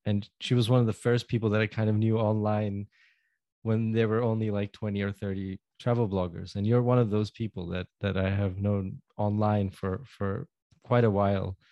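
A man hosting a travel podcast, speaking in a relaxed, friendly way with frequent fillers. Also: fairly low pitch, moderate pace, dry recording, muffled recording. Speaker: podcast host. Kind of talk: casual podcast interview.

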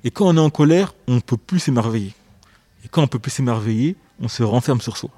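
Hesitant, reflective man with a mellow voice. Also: medium pitch, lively intonation, fairly quick, husky, dry recording. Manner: hesitant, repeats words, searching for phrasing. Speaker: author guest. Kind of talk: radio interview, reflective.

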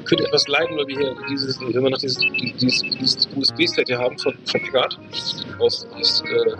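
A man speaks as a casual podcast co-host at a middle pitch. He rambles, halting and doubling back on words. Music plays clearly behind him. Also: fairly even intonation, low-quality recording. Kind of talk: casual chat.

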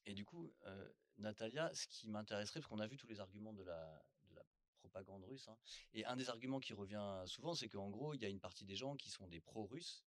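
Low-voiced male panel moderator. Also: no room sound. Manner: loose, searching phrasing with fillers. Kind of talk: round-table moderation.

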